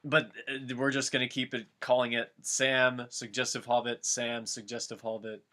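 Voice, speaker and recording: Bright-voiced man reading aloud for laughs. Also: mid-range voice, level tone, moderate pace. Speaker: reader of a fan-fiction dramatic reading. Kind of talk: comic read-aloud with casual banter.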